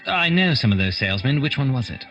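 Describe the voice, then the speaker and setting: A man acts a brisk radio-play detective who has no patience for sentiment. His pitch is animated and swings widely as he gives orders and reasons aloud. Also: muffled recording, soft background music. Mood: brisk, urgent. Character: brisk, impatient, commanding male detective, all business. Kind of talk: radio drama acting.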